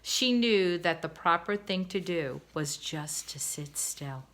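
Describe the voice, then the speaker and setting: A woman, a teacher, reading a children's novel aloud in an expressive, gentle way. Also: on the low side, slow. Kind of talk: storybook read-aloud.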